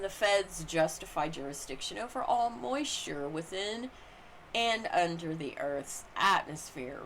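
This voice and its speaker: A woman reading a formal text aloud as scripted narration, phrase by phrase with deliberate emphasis. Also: medium pitch, a bit bright. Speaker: reader of a book on constitutional law. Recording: clearly noisy amateur recording.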